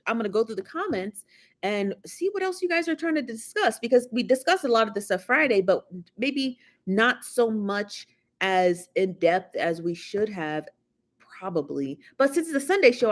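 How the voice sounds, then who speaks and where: A woman hosting a live celebrity gossip show, chatty and teasing, often laughing as she talks. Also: medium pitch. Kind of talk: casual chat.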